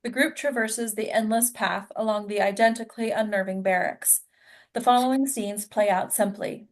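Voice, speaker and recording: A woman's voice: medium pitch, fairly even intonation, normal speed. A woman narrating stage directions at a table read in a plain, informal way.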